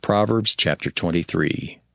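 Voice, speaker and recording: A man's voice: low-pitched, measured pace, gravelly, soft background music. A man making formal scripted chapter announcements as an announcer.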